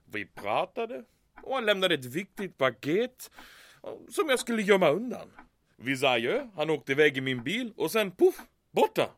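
A shrill, panicky major. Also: male voice, big pitch swings, a bit bright, heavily acted. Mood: hushed, brooding. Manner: melodramatic, sprinkles in German exclamations. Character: panicky, theatrical middle-aged German army major. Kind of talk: audio drama monologue acting.

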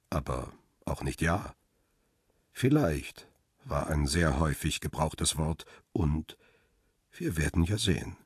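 An actor playing a man who tells his own crime story in a measured, subdued way, husky and weary, as in a radio thriller. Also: sits low, dry recording, clean studio sound, exaggerated voice. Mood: wistful, calm. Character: weary, lovelorn male paparazzo narrating his thriller story. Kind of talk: radio drama first-person narration.

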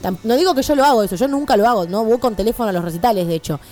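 A woman's voice: a little high, very fast, darkish tone. A woman in her twenties with an Argentine accent, chatting casually and with firm opinions as a radio co-host. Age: 20s. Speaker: radio show co-host and columnist.

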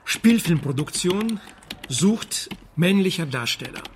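Dry ironic detective in a radio play, unflappable, bit of noise behind. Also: male voice, high-pitched, expressive, slow. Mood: businesslike. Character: sardonic, unflappable Ukrainian male private detective. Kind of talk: German radio drama dialogue and narration. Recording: faint background noise.

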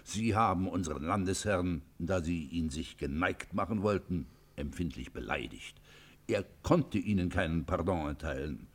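Deep, stern father confessor. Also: animated. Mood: grave reproach. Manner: solemn, measured, reproachful. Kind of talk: German radio play, classical literary acting.

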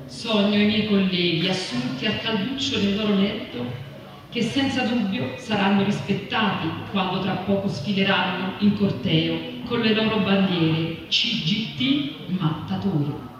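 Literary reader, expressive delivery, very echoey live space, audible noise. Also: mid-range voice, measured pace. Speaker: reader at a public literary reading. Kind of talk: expressive reading of literary prose poetry aloud. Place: live reading at a festival venue. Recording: echoey noisy hall, distant mic.

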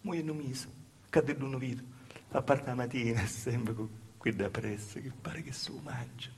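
A man with a Calabrian accent playing an anxious, wronged young village woman in a halting, repetitive theatre monologue. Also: medium pitch, fairly even intonation, a little hiss or hum, lightly acted. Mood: hushed, troubled. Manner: halting, repetitive, dropping to hushed asides. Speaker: stage actor performing a monologue. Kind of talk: dialect theatre monologue, storytelling.

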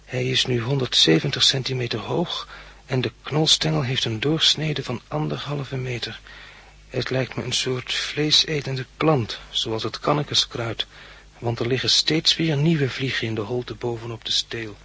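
A man's voice: medium pitch, measured pace, gravelly, sharp tone, breathy edge, full character voice. An actor playing an earnest man who recounts his studious youth with precise diction in a 1960s Dutch radio drama.

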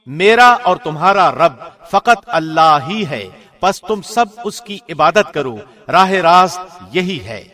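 A man reads a scripture translation formally, with the measured diction of a radio presenter. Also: high voice, expressive, bright, forceful tone. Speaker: translation reader, radio host.

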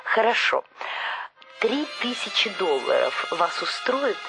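A woman's voice: mid-range voice, sing-song, slow pace, creaky, heavily acted, low-quality recording. A woman acting in a radio play as a businesslike, secretive government official who gives insistent instructions. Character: brisk, secretive female government official commissioning writers. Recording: studio radio drama with music behind.

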